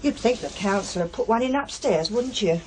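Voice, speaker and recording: A woman's voice: medium pitch, expressive, moderate pace, darkish tone, close to an everyday voice, rough recording. Woman acting a chatty blunt english working class mum, radio play, voice goes up and down loads, bit of noise. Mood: matter-of-fact. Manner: colloquial, blunt, nagging. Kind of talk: naturalistic radio drama acting.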